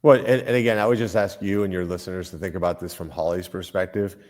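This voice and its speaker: An older man with a lowish voice gives an emphatic political interview. He talks forcefully, restarts sentences and repeats phrases for weight. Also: steady tone, quick pace. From Colombia. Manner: emphatic, repetitive, frequent restarts. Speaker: senator, guest.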